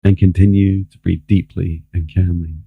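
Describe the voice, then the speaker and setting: A man leading a guided meditation as a spiritual mentor, speaking in a slow, calm, measured way with long pauses. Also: sits low, vocal fry, slightly rough, no echo. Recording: muffled, phone-like narrow band, dry.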